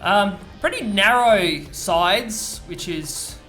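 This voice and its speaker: A man co-casting a strategy game match, laid-back and filling pauses with agreement. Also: high-pitched, animated, bright, forceful tone, soft background music. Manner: laid-back, lots of "yeah" fillers. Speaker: game co-caster. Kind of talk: casual esports commentary.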